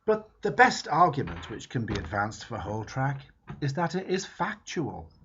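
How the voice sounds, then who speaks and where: A man reading a book foreword aloud in a measured, emphatic way. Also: medium pitch, husky. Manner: measured, emphatic reading with lively rises and falls. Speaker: reader. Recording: dull lo-fi recording.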